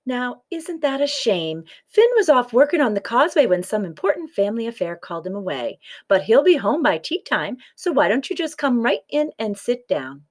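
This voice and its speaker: A woman's voice: fairly high pitch, sing-song, moderate pace, hardly any pauses, a little mellow, pitched above the performer's own voice. A woman voicing a sweet, sly, coaxing giant's wife in a storybook tale. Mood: sweetly sly. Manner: sugary, coaxing.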